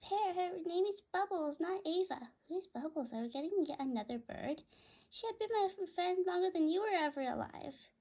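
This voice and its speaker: An excitable little storybook cockatiel, voiced by a woman reading aloud. The bird speaks in a very high, soft-toned voice with an insistent, chattering manner. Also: normal speed. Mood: indignant.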